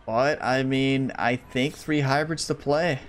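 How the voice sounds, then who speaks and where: A man's voice: mid-range voice, fairly even intonation, takes it slowly. A man giving casual let's-play commentary, chatting to his viewers as he plays, his voice a little worn. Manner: chatty, talks to viewers while playing. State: slightly losing his voice. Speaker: gaming YouTuber.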